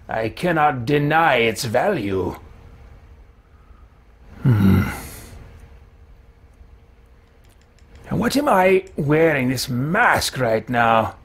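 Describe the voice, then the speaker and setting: A man voicing a fussy, absent-minded, genteel fantasy wizard, musing in formal, deliberate phrases as he roleplays through a video game. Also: a little high, a bit bright, husky, full character voice. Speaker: let's-play streamer roleplaying his game character. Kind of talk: in-character game roleplay commentary. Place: small indoor room at home.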